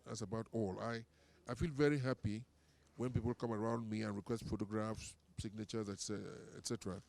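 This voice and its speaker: A man with a Nigerian accent, a performer giving composed, measured and formal interview answers. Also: lowish voice, fairly even intonation, creaky, a little mellow.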